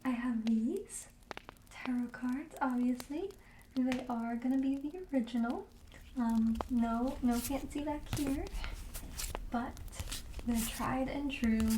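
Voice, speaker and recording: Soft, high-voiced witch shopkeeper played by a woman, whispery. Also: takes it slowly, roomy sound, a little hiss or hum, rough recording. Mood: helpful, gentle. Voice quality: soft, breathy, often dropping to a whisper. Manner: gentle, coaxing sales patter with casual hesitations. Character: friendly, darkly quirky witch shopkeeper peddling curiosities. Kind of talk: ASMR roleplay, soft speaking and whispering.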